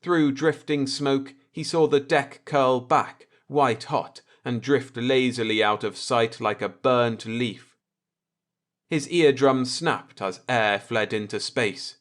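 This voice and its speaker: A man reading a scripted sci-fi story as an audiobook narrator, in a measured, dramatic way. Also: mid-range voice, a bit bright.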